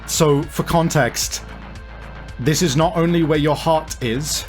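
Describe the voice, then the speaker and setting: A man running a tabletop game as game master, improvising narration and explaining deliberately with casual swearing. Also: fairly high pitch. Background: faint music.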